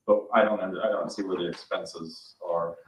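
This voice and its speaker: A board member with a low male voice talks through questions informally. He sits away from the microphone, and the room echoes around him. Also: steady tone, normal speed, far from the mic, a little hiss or hum. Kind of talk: informal questioning in a public meeting. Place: indoor town board meeting room.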